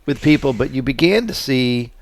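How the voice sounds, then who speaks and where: A man co-hosting a casual, supportive conversation, speaking haltingly as he restates and affirms what he hears. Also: medium pitch, animated, dry recording. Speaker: podcast co-host.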